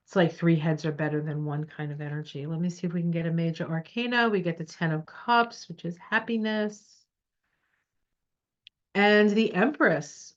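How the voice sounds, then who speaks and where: Stuffy-nosed woman, lowish voice, lo-fi. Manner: encouraging, reassuring. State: stuffy nose. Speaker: tarot reader. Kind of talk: casual tarot reading to camera. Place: home desk at her computer. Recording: dull lo-fi sound.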